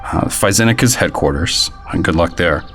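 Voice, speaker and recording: A man's voice: deep voice, animated, normal speed, a bit bright, faint music behind. A man playing a folksy, secretive conspiracy-minded informant in an audio drama, with colloquial, hesitant talk. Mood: knowing, grave.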